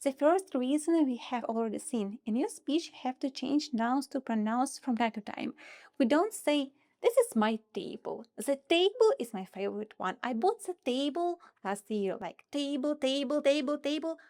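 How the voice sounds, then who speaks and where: A young woman with a Russian accent, teaching grammar in an online lesson. Her voice sits high and leaps around a lot. She keeps talking straight to her viewers in a playful way. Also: moderate pace. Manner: lively, playful, talks directly to viewers.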